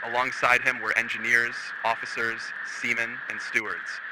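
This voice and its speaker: A man narrating a scripted documentary-style story in a solemn, measured way. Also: mid-range voice, flat delivery. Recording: loud background noise and ambience.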